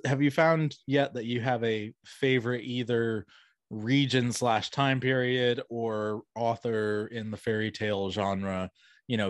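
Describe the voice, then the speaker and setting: A man's voice: lowish voice, dry recording. A middle-aged man speaking as a podcast host in a casual, enthusiastic, rambling conversation, close on a studio microphone. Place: small home room, video call. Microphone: close studio mic on boom arm.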